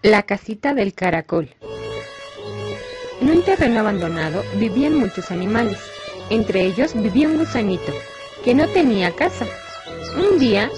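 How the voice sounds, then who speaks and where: Woman, children's storyteller, scripted narration, animated intonation, background music. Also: medium pitch. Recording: music behind the voice.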